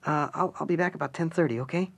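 A man's voice: on the high side, fast, gravelly, voice pushed up. A man reading a story, voicing a petulant, apologetic rebel man in a clown suit. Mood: sheepish. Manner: petulant, sheepish.

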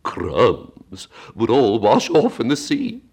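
A man voicing a slow, gloomy male Mock Turtle creature in a storybook reading, drawing out his words. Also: medium pitch, huge pitch swings, creaky, darkish tone, slightly rough, voice pushed up, exaggerated voice. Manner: slow, drawling, easily offended.

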